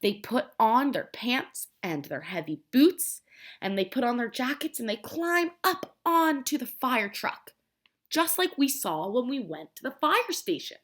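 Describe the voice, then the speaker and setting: High-pitched, animated woman reading to preschoolers. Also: measured pace. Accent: American. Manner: simple, expressive child-directed talk, repeating and prompting. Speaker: preschool teacher. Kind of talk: children's read-aloud with questions to the listeners.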